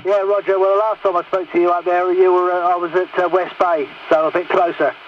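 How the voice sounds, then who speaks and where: High-voiced man on muffled, noisy CB radio. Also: level tone, a little fast, distant mic. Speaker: CB radio operator. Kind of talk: casual CB radio chat.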